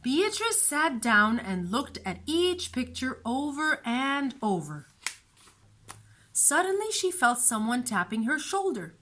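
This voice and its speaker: A woman storyteller narrating a children's storybook in an expressive, deliberate way. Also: high-pitched.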